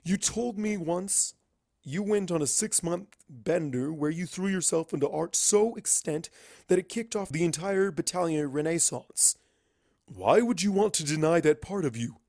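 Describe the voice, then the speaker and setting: A man's voice: on the high side, normal speed, darkish tone, dry recording, clean studio sound, pitched above the performer's own voice. A man voicing a blunt, challenging male pony scholar in a fan fiction reading. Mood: insistent, challenging.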